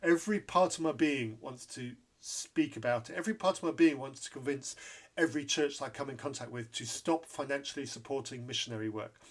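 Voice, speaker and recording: A man's voice: medium pitch, brisk. A man hosting an interview podcast, who grew up in Zambia, speaking candidly and repeating points for emphasis. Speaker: podcast host.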